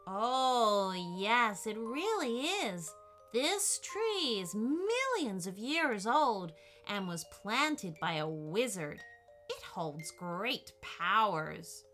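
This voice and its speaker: A woman's voice: a little high, very animated, extremely slow, a bit bright, faint music behind, heavily acted. A woman voicing a friendly male talking cat in a children's story, genial and courteous. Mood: solemn, admiring.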